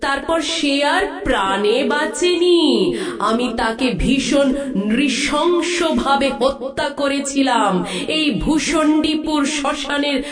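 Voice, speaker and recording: A woman voicing a cruel, vengeful witch demon in a horror cartoon, boastful and theatrical in her delivery. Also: high-pitched. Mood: gloating, menacing. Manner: slow, drawn-out, gloating threats. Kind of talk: dramatic horror cartoon voice acting. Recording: echoing, distant-sounding effect.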